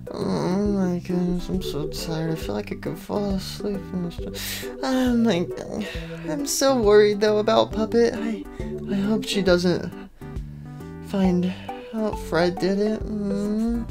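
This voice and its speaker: A woman plays an excitable, food-loving animatronic hen in a cartoon comedy. Her pitch swoops about as she chatters in a blunt, playful, cheeky way, with music underneath. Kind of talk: improvised comedic character roleplay.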